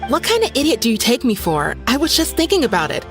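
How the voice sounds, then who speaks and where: A woman's voice: on the high side, huge pitch swings, quick pace, soft background music. A woman voicing an emphatic, defensive young townswoman in a video game. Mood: indignant, puzzled.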